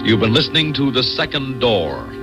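A man's voice: medium pitch, sing-song, moderate pace. A man with an American accent announcing formally on 1960s network radio. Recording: vintage radio broadcast, faint music.

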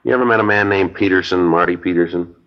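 An actor playing a terse American police detective in a 1950s radio crime drama, clipped and matter-of-fact, both in dialogue and narration. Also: male voice, deep voice, fast. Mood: politely probing. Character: terse, businesslike male police detective who narrates his case. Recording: dull old radio broadcast recording.

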